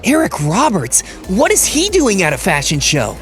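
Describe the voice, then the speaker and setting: A woman's voice: mid-range voice, wildly swooping pitch, moderate pace, soft background music. A woman playing scandalised bystanders in a dramatised audio story, exclaiming and questioning. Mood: puzzled. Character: dramatic, scandalised women: stylist and prying reporter.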